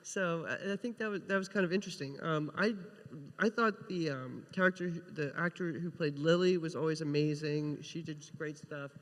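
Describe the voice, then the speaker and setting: A man's voice: on the high side, moderate pace, a bit bright. A man chatting casually on a panel as a TV writer, often rephrasing mid-sentence. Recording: reverberant hall.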